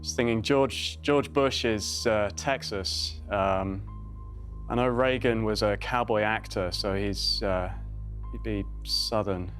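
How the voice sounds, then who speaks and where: Brief: man in his 20s, hesitant contestant working answers out aloud, mid pitch, faint music behind. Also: steady tone, slow, fairly bright tone. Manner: hesitant, reasons aloud with fillers. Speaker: quiz contestant, physics student. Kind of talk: thinking aloud on a quiz show.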